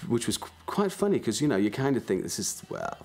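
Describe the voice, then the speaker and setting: A man's voice: mid-range voice, very animated, a little fast, vocal fry, a little mellow, husky, soft background music. A man with a Newcastle accent, a band guitarist telling wry stories in a documentary interview.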